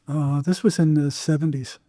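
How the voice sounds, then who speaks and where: An older man telling his experiences conversationally as an interview guest, in a halting way with repeated words. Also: on the high side, fairly even intonation, mellow, dry recording, clean studio sound. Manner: halting, repeats words, acts out remembered exchanges. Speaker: psychotherapist guest.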